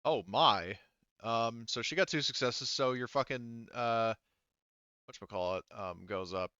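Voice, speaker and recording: A man casually running a tabletop roleplaying session as game master, halting and thinking aloud as he goes. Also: mid-range voice, sharp tone, clear, resonant tone, dry recording, muffled recording.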